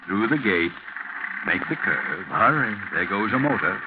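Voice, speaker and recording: A man's voice: on the low side, big pitch swings, heavy background noise. An actor playing a sinister, mocking unseen narrator in a 1940s radio mystery, taunting and urging his victim. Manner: taunting, deliberate, addresses the character directly. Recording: muffled 1940s radio recording, loud music behind.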